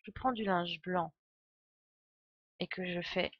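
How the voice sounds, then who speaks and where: A woman's voice: lowish voice, steady tone, takes it slowly, highs cut off. A woman with a cold, thinking aloud as she gives casual live game commentary with chatty asides to viewers. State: has a cold. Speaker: game streamer. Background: faint game music.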